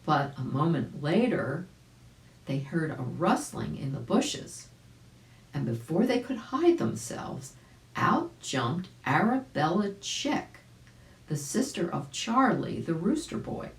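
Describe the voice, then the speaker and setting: An older woman around her seventies reading a children's story aloud, expressively and deliberately, indoors a few feet from the camera. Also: deep voice, sing-song. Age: older, about 70s. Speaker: volunteer storybook reader. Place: small indoor room at home. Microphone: camera a few feet away. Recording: roomy home recording.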